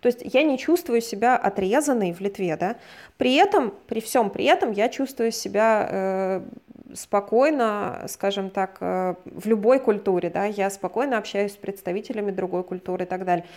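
A woman from Russia, a guest expert, explaining ideas in a measured, lecturing way and often hedging her words as she talks. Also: mid-range voice, a little mellow. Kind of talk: explanatory conversation.

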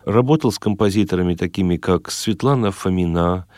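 Low, dark-voiced man in an interview. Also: fairly quick, dry recording, studio quality. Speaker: interview guest, chamber singer. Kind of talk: reflective interview talk.

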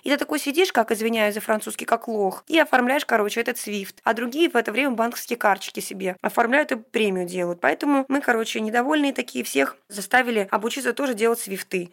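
A woman podcast host explaining things in a chatty, colloquial monologue, often posing rhetorical questions. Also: on the high side. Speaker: podcast host and financial consultant.

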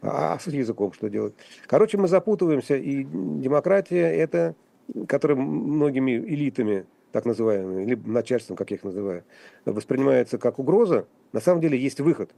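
A man speaking as a guest commentator on a radio talk show, halting and wry, with frequent restarts and fillers. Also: mid-range voice, animated, gravelly, dark tone, no room sound. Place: radio studio.

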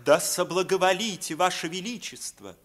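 An actor playing a pompous, officious and fawning court lord in an old radio drama. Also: male voice, high-pitched, animated, normal speed, fairly bright tone. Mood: officious, ceremonious. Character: pompous, obsequious male royal courtier lord. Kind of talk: Soviet 1950s radio play acting.

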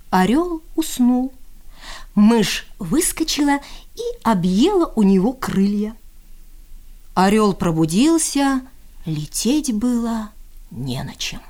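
A woman reading a folk tale aloud in an expressive, deliberate storytelling manner. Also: on the high side. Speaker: reader of folk tales.

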